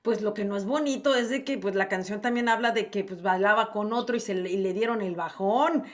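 A woman's voice: medium pitch, fairly quick. A woman hosting an interview show from a studio, asking teasing, playful questions. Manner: playful, teasing, laughs easily. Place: studio with headset-style mic setup. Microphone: studio microphone in front.

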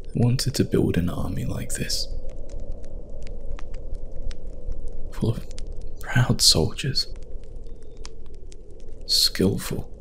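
A man playing a doomed warrior husband in an ASMR love-letter monologue, solemn and tender, slow and half-whispered. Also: on the low side, vocal fry, a little hiss or hum, pitched above the performer's own voice. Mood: proud, loving.

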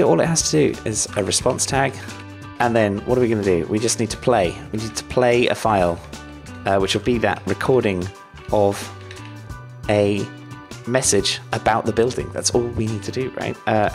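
A man in his thirties casually walks through code on a stream. His voice sits low, close on the mic, with faint music behind. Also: normal speed, vocal fry. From Australia. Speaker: developer and live streamer. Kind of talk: live coding walkthrough, casual. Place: home office room. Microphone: studio mic on boom arm, close.

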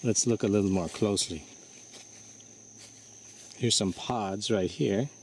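A man vlogging outdoors under trees, talking in a relaxed, explanatory way to his handheld camera. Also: fairly low pitch, slow. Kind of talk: casual outdoor show-and-tell. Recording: faint insect and cricket noise.

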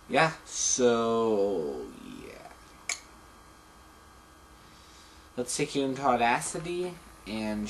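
A man's voice: mid-range voice, slight background noise, poor audio. A young man in his late teens chatting casually to camera as a tutorial host, rambling with lots of ums, recorded indoors by a camera mic a few feet away. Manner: relaxed, rambling, many ums. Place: indoors in front of a blue screen.

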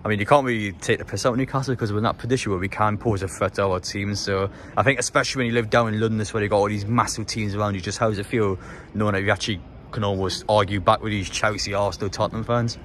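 A man's voice: on the low side, steady tone, rapid-fire, fairly bright tone, slight background noise. A man interviewing football fans on the street, asking long rambling questions. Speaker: interviewer, YouTuber. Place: city street outdoors.

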